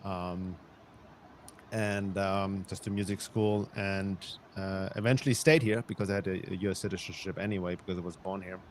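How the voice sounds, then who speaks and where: A man's voice: low voice, fairly even intonation, moderate pace, a little hiss or hum. A man in his fifties from Germany, a guest on an interview podcast, telling his life story in a reflective way with frequent restarts and light laughs.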